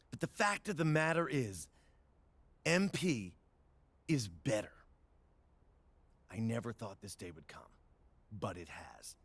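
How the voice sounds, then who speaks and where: A man playing an opinionated sports TV commentator, delivering an emphatic, dramatic monologue with deliberate phrasing. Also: high-pitched, a bit bright, no room sound, heavily acted.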